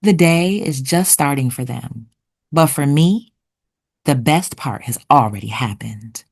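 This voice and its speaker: A woman reading a children's picture book aloud expressively, with deliberate storytelling pauses. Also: rumbling low, animated, darkish tone, husky. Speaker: children's read-aloud narrator.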